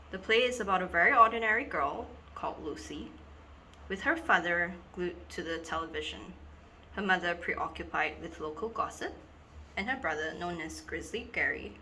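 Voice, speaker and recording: A woman's voice: on the low side, pushed and bright, a little hiss or hum, low-quality recording. A woman presenting acting scripts, reading the synopsis aloud to camera. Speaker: amateur actor presenting scripts.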